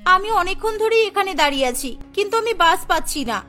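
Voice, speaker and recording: A woman's voice: extremely high pitch, expressive, fairly quick, sharp tone, exaggerated voice. A woman playing a headstrong young career-minded daughter in a cartoon, emphatic and exclaiming, over music. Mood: surprised, friendly. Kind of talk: dramatised cartoon dialogue.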